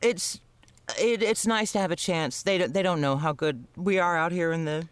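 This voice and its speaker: A man with a high voice talks casually among fellow podcasters. He is wry and playful and happy to swear. The sound is dry, with no room to it. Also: normal speed, a bit bright, clear, resonant tone, no echo. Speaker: comedy podcast cast member and writer. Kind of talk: casual group chat.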